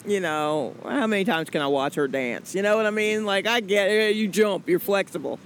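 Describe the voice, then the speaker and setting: A woman's voice: mid-range voice, normal speed, slight background noise. A woman in her forties from the American South, a comedian chatting in an interview, rambling and joking with lots of fillers. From Atlanta, US South. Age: 40s. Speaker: comedian guest. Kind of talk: casual interview chat.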